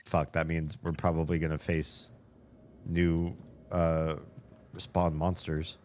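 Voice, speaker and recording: Deep-voiced man chatting while gaming. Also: flat delivery, slow pace, a little mellow, a little hiss or hum. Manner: chatty, swears a lot, talks to himself while playing. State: slightly hoarse. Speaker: video game streamer. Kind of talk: casual gameplay commentary.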